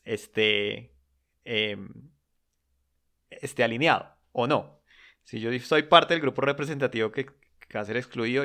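A man's voice: medium pitch, gravelly, sharp tone. A man co-hosting a podcast in casual chat, talking in a reflective way and dropping short agreements while his co-host speaks.

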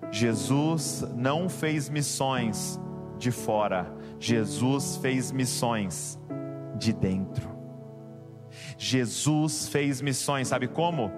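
A man's voice: mid-range voice, expressive, extremely slow, over music. A man preaching a sermon to a congregation, emphatic and rising to passionate exhortation. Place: church with keyboard behind.

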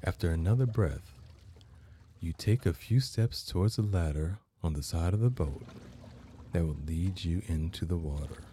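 A man hosting a guided meditation, narrating in measured, deliberate phrases with long pauses. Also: sits low, mellow, slight background noise. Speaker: guided meditation podcast host.

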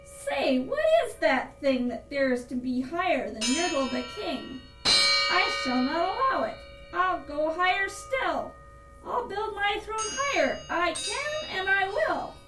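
A boastful storybook turtle king, acted out by a woman, bellowing imperious rhymes. The voice is very high and deliberately drawn out, heard in a roomy echo with loud music behind. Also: very animated, distant mic, heavily acted. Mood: indignant, defiant. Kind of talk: rhymed storybook read-aloud.

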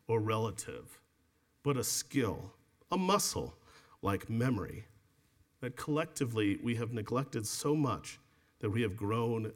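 A man, an author, reading his book aloud to an audience in a measured, deliberate way, then answering questions. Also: medium pitch. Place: event space with an audience.

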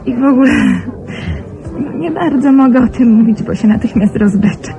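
A woman's voice: on the high side, moderate pace, over music, lightly acted. An actress playing an anxious, sentimental young woman in a radio play. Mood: wistful, curious. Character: anxious, sentimental young Polish woman working in a wealthy household.

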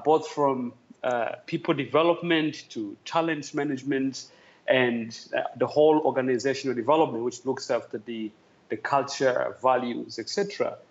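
Earnest Tanzanian man on a dull remote line. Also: medium pitch. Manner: thoughtful, many "uh" fillers, earnest. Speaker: podcast guest, talent development executive. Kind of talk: conversational interview answer. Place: calling in remotely.

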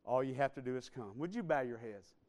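A man preaching a sermon, swinging between loud build-ups and quiet, low appeals to the congregation. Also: expressive, fast talker, background noise.

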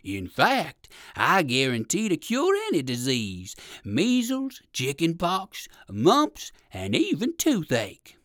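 A woman voicing a sly, hungry big bear in a children's storybook, coaxing with quiet menace. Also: low voice, huge pitch swings, dry recording, studio quality, exaggerated voice. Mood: wheedling. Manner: slow, coaxing, menacing salesman.

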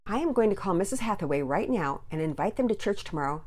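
A woman's voice: medium pitch, lively intonation, quick pace, darkish tone, voice pushed up, natural voice. Storybook mother, read by a woman: caring, firm, probing, with very varied intonation. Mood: concerned, firm. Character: caring but firm mother, concerned and reproving.

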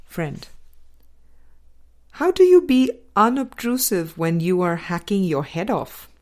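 A woman reading a spiritual book aloud as a scripted narration, with measured, deliberate phrasing in short groups. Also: fairly low pitch, big pitch swings, a little mellow. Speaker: audiobook reader.

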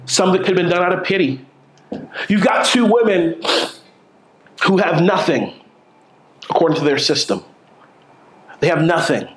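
A man in his forties with a New York accent delivers a sermon, joking with the room and tripping over repeated words as he restarts. His voice sits high for a man. The sound carries a roomy echo, as in a church hall. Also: lively intonation, moderate pace, long pauses, a bit bright. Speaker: pastor. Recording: echoey room.

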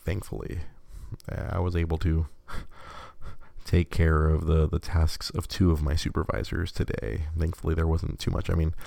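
A sick podcast host, a man whose congested voice sits very low and gravelly. He rambles and corrects himself as he chats. The tone is dark and mellow, picked up dry and close on the mic. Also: little pitch movement, measured pace, no room sound. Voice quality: extra bass in the voice from illness. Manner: rambling, self-correcting, chatty. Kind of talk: casual live-streamed podcast monologue.

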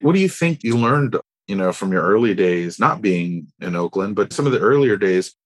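Husky-voiced man hosting a podcast interview. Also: on the low side, expressive, normal speed. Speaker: podcast host.

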